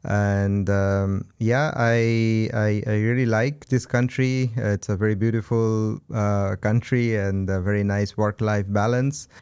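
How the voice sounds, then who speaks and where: A man from India with an Indian accent, answering casually as an interview guest in measured, hesitant phrases. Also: fairly low pitch, flat delivery, darkish tone, clear, resonant tone. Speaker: podcast guest, event co-founder.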